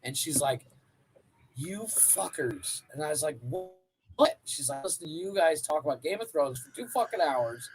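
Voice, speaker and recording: A man's voice: a little high, lively intonation, a little slow, slight background noise, poor audio. A man co-hosting a casual comedy podcast, cracking jokes and acting out what other people said. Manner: wisecracking, acts out quotes. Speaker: podcast co-host.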